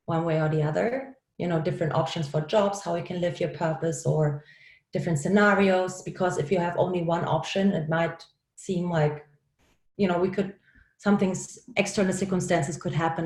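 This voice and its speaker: A woman's voice: fairly low pitch, a little mellow, echoey room. Upbeat German woman coaching on a call. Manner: upbeat, laughs often, encouraging. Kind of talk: online coaching talk. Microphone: video call.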